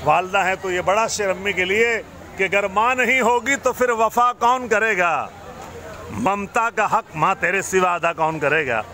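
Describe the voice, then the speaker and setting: A man's voice: high voice, a little slow, crowd noise. A middle-aged man recites poetic couplets to guests in a florid, courtly, declamatory way as a restaurant entertainer. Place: busy outdoor restaurant courtyard at night. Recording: noisy open-air restaurant, phone recording.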